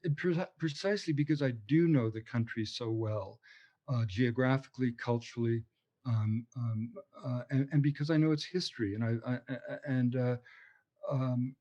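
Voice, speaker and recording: A man speaking as an interview guest, with a warm, mellow tone. He talks passionately and wanders into tangents, often restarting his phrases. The audio sounds dull and lo-fi, with the highs cut. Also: moderate pace. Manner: passionate and discursive, with frequent restarts. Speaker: author and anthropologist, interview guest. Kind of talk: conversational interview answers.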